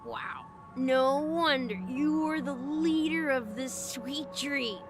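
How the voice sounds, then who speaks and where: An actress playing a blissfully carefree, easygoing reveller who talks in a dreamy, casual drawl in a video game. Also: female voice, sits high, slow pace, noisy background. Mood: grateful, casual. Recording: music playing behind the voice.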